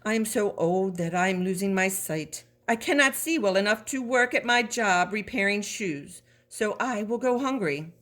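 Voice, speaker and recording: A woman's voice: mid-range voice, animated, moderate pace, few pauses, a bit bright, natural voice. A woman voicing a poor old cobbler in a storybook, humble and plaintive. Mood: pleading.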